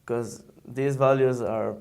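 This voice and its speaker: A young Israeli man answers in halting, searching English. His voice is gravelly, with a touch of fry, and he stays flat and even in pitch. He is a guest speaking in an interview. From Argentina, raised in Israel. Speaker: camp counselor guest.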